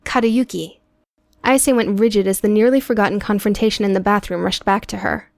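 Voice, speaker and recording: A woman reading scripted audiobook prose in a measured, dramatic storytelling manner. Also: medium pitch, no echo, clean studio sound. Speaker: audiobook narrator.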